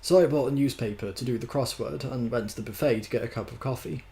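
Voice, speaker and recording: A man's voice: medium pitch, fast, creaky, mellow, natural voice. A man voicing a mild, affectionate storybook man who rambles dryly through his anecdote.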